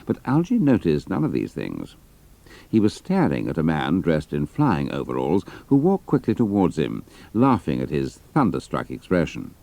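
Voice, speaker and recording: A man's voice: rumbling low, huge pitch swings, normal speed, creaky, mellow, dry recording. A man narrating a dramatised adventure story.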